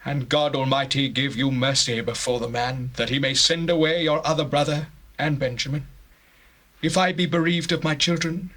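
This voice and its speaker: A man's voice: mid-range voice, fairly even intonation, normal speed, a bit bright, close to an everyday voice. An actor playing a grieving, anxious old father in a dramatized scripture, declaiming solemnly in archaic English. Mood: resigned. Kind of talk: dramatized scripture, stage-like acting.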